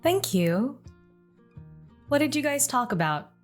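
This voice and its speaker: An actress playing a gentle, caring mother in an audio drama. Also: high-pitched, sing-song, slow pace, exaggerated voice. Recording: faint guitar music under the voice.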